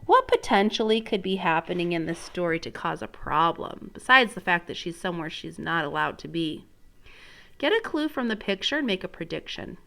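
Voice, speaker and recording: A woman teacher reading a storybook aloud in an expressive, instructional way, prompting listeners with questions. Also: on the low side, normal speed, vocal fry. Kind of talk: interactive storybook read-aloud lesson.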